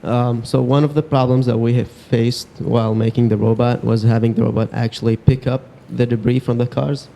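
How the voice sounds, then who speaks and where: A young man explains a robot design in a halting way, with fillers. His voice is flat and gravelly, with a dark, mellow tone. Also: mid-range voice, a little hiss or hum. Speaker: student presenter. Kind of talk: presenting a project.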